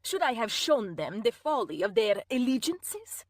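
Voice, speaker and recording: A woman reader voicing a cold, stern, self-righteous woman who speaks slowly and calmly, sometimes in a whisper. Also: fairly high pitch, no room sound, studio quality. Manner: slow, calm, measured, at times whispered. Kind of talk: audiobook character voice.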